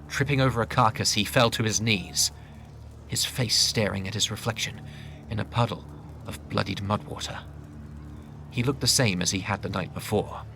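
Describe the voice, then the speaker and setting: A man's voice: lowish voice, sharp tone. A man reading dramatic scripted fantasy narration in a grave manner. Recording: faint noise and ambience.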